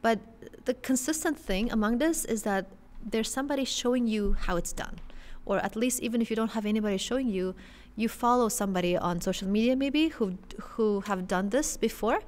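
Young woman explaining calmly on a podcast. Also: a little high, brisk, few pauses. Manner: explanatory, reasoning step by step. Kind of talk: casual conversation. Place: dark studio. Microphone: boom mic in front.

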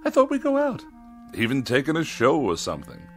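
An actor playing a pompous, condescending food writer in a radio drama, fussy and lonely, with haughty, ornate phrasing. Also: male voice, medium pitch, wildly swooping pitch, a little fast, faint music behind. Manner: haughty, ornate, precisely worded speech.

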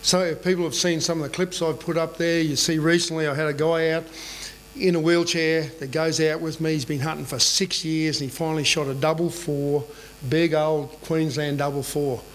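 A middle-aged Australian man speaks from a lectern in a hotel conference room, his voice sitting a little high for a man. He talks plainly and conversationally, often checking in with the room. A faint mains hum runs under the recording. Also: little pitch movement, normal speed, poor audio. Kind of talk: presentation talk. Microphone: boom mic on a lectern stand.

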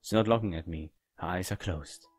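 A man acts out a cold, calm young killer telling his own story in a visual novel. The voice is low, quiet and subdued, recorded dry over faint music. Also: fairly quick, no room sound. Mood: quiet, brooding. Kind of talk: visual novel read-aloud, quiet and dramatic.

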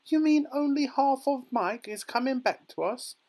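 Storybook mother, played by a male reader: high-pitched, panicky, wailing. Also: moderate pace, a little mellow. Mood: horrified. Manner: wailing, crying out in alarm. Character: horrified, shrieking, anxious mother of a boy.

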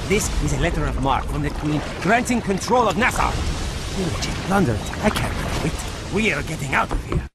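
An actor playing a cowardly, fawning colonial governor in a video game, theatrical and flustered. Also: male voice, on the high side, very animated, moderate pace, over loud music. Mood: gloating. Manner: theatrical, pleading. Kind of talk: video game cutscene acting.

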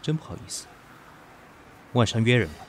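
An actor in an audio drama playing a proud, weary, sharp-tongued businessman, guarded with his lover, speaking in a curt, dry way. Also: mid-range voice, big pitch swings, normal speed, slight background noise, close to an everyday voice. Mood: cool, guarded.

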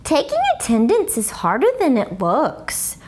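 An excitable little schoolgirl played by a woman tells her storybook journal in a high voice. She is blunt and dramatic, swooping widely in pitch and taking her time with heavy, exaggerated emphasis. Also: measured pace. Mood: dramatic, earnest. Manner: childlike bad grammar, exaggerated emphasis. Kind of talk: expressive children's book read-aloud.

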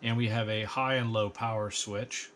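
A middle-aged American man narrating an instructional bench review in a measured, precise technical manner. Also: fairly low pitch, fairly even intonation, a bit bright, slight background noise. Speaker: host, radio equipment reviewer. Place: indoor electronics workbench.

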